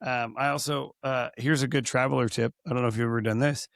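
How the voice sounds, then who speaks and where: A man co-hosting a podcast, hoarse from recently losing his voice, chatting casually and rambling through many restarts. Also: mid-range voice, fairly even intonation, fast, a bit bright, dry recording, studio quality. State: hoarse, recovering from lost voice. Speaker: podcast co-host.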